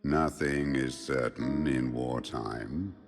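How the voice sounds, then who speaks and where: A man playing Death as a grave, ancient spirit, solemnly and deliberately narrating a dark fable for a game. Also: very deep voice. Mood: grave, ominous. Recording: long cavernous reverb, music behind.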